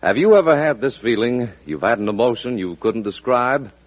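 A polished male network announcer reads earnestly in vintage 1940s radio style. His mid-pitched voice is very lively in intonation, on a dull old recording. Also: normal speed.

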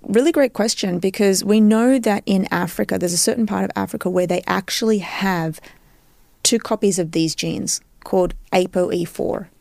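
A woman's voice: mid-range voice, normal speed, gravelly, a little mellow, dry recording. A woman with an Australian accent explaining her field as an expert guest in a podcast interview, teaching step by step and checking that she is followed. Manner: didactic, checks understanding with tag questions.

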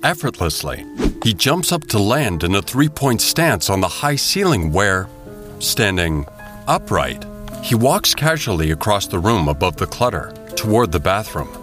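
A man narrating a scripted audio drama as a measured, descriptive storyteller. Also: on the low side, very animated, music in the background. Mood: storytelling.

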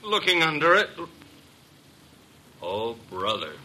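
Cocky, forceful detective sidekick. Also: male voice, fairly high pitch, huge pitch swings, slow, full character voice. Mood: sardonic. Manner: breezy, slangy, sardonic. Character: wisecracking, streetwise male private detective's assistant, cocky. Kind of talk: old-time radio detective drama acting. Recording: noisy old radio drama recording.

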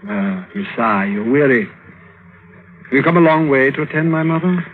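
An actor playing a cruel, silky young despot prince in theatrical, commanding old radio drama style. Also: male voice, mid-range voice, expressive, measured pace, natural voice. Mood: musing, imperious. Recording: tinny, hissy old radio broadcast recording.

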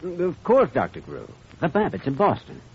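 An actor playing a smooth-talking, scheming younger doctor, wry and persuasive, in 1940s radio style. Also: male voice, sits high, wildly swooping pitch, fairly quick, exaggerated voice. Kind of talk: 1940s radio comedy-drama acting. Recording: muffled, hissy old radio broadcast.

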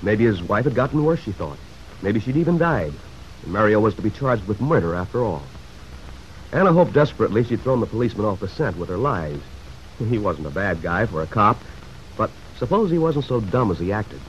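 Animated male narrator on muffled old radio. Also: on the low side, fairly quick. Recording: muffled vintage radio, faint noise.

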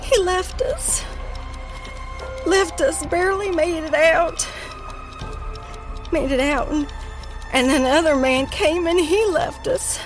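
A woman's voice: extremely high pitch, exaggerated voice. A woman playing a frantic, unstable mother, panicked and pleading.

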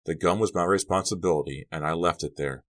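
An anxious teenage girl weighed down by guilt, acted by a male narrator. The delivery is shaky and halting, as in a dramatic audiobook, in a low voice lifted a little. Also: natural voice. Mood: resigned.

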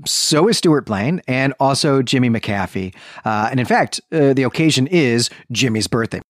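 A man's voice: mid-range voice, lively intonation, moderate pace, no echo, clean studio sound. A man co-hosting a podcast, retelling and explaining a story in a conversational way, often checking in with "right". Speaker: podcast co-host.

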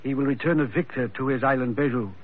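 남자 배우가 연기하는 불안한 농장주 통역자. 허스키한 목소리로, 얇고 전화 같은 옛 라디오 음질이다. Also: male voice, mid-range voice, quick pace, natural voice. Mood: translating. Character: uneasy male plantation owner, interpreter. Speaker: plantation owner Fenric. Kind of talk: 1940s radio acting. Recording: tinny old radio broadcast.

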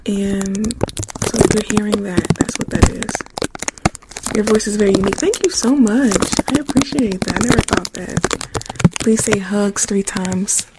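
A young woman with a naturally quiet, soft voice chatting casually with viewers on an ASMR livestream, close to a taped microphone. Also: medium pitch, moderate pace. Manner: chatty, answers viewer comments, trails into whispers. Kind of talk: casual live-stream chat with viewers. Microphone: close mic with tape on it. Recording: faint rustling noise from taped mic.

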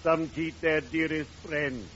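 An actor playing a morbidly genial undertaker in an old radio comedy, solemn, formal and punning. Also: male voice, fairly high pitch, steady tone, fairly bright tone. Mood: droll. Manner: formal, grandly deliberate, punning. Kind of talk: 1940s radio comedy acting. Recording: dull, noisy old radio recording.

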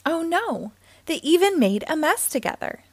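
Female children's storytime reader: high voice, lively swooping intonation, expressive delivery, close dry sound. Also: moderate pace, dry recording. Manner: expressive, theatrical. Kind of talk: children's picture book read-aloud.

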